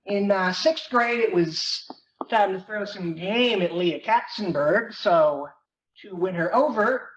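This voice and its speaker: Performer playing teenage boy narrator, self-deprecating, deliberate, dramatic reading, noisy muffled recording. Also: medium pitch, echoey room, lightly acted. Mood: wry, rueful. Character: Greg, awkward teenage boy narrating his comic confession. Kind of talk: dramatic reading of a first-person novel.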